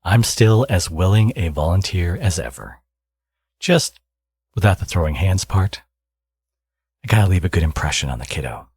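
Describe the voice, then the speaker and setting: Casting: smug villain dad for audio roleplay, male actor, low-pitched, sardonic and teasing, with swooping, expressive delivery. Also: moderate pace, long pauses, husky, no room sound, heavily acted. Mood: teasing, coaxing. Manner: teasing, sardonic, drawling threats. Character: smug supervillain man, teasing ex-enemy and doting father. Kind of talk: ASMR audio roleplay, intimate acted monologue.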